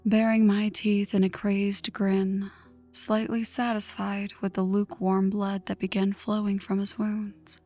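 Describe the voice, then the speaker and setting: A woman voicing a vengeful, newly turned vampire in a hushed, breathy ASMR story narration, slow and dramatic. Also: mid-range voice, level tone, natural voice. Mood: tense, vengeful. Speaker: audio roleplay narrator. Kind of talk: ASMR scripted first-person story narration. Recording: music under the voice. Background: background music.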